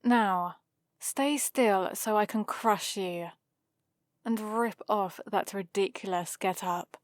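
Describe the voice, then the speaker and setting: A woman's voice: mid-range voice, slow, no room sound, natural voice. A woman playing a haughty, sarcastic centuries-old vampire librarian in a scripted ASMR roleplay, with a dry, theatrical delivery. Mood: dismissive, sardonic.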